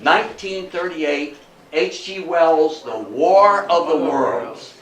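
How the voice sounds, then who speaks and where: An older man with an American accent gives an informal talk in a folksy, emphatic storytelling manner. His voice sits a little high for a man. It is picked up from a distance in a roomy space with faint noise. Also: slow, crowd noise. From United States. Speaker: guest lecturer on old-time radio history. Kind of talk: informal public lecture with anecdotes. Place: indoor talk to a live audience at a historical society.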